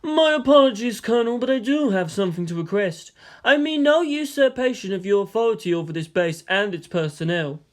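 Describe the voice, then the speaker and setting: A man voicing a polite, self-effacing male military strategist in a story, speaking in an understated, measured way. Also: high-pitched, expressive, pitched above the performer's own voice. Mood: polite, requesting.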